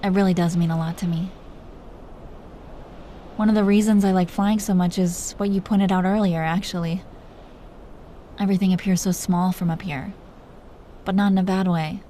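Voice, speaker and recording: A woman's voice: lowish voice, fairly even intonation, normal speed, long pauses, mellow, a little hiss or hum, lightly acted. A woman playing a protective, doting dragon queen who teases her lover tenderly in an intimate roleplay. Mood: sincere, tender. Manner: tender, playfully teasing, breathy whispers at times. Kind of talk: intimate roleplay audio, soft acting.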